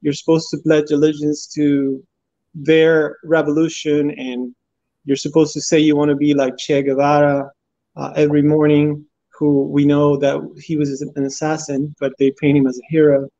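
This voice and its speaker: A man in his forties from Cuba, a guest answering interview questions in an earnest, steady way over his phone. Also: on the high side, moderate pace, no room sound. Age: 40s. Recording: dull phone audio.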